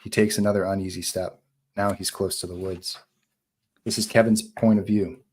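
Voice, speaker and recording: A man's voice: deep voice, little pitch movement, normal speed, a little mellow, husky. A man narrating a screenplay at a live table read, reading terse scene directions in short phrases.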